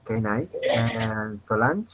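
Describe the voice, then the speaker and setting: A man in his twenties with a Venezuelan Spanish accent, leading an online English practice chat in halting, restarting English. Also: lowish voice, expressive, a bit bright. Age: 20s. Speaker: chat moderator. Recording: dull lo-fi voice call with faint noise.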